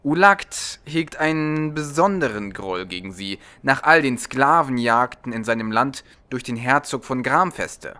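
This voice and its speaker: A man's voice: mid-range voice, animated, measured pace, hardly any pauses, sharp tone, clean tone. A man voicing a courtly, calculating serpent-man advisor in a formal, deferential audiobook manner. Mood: agreeing, persuasive.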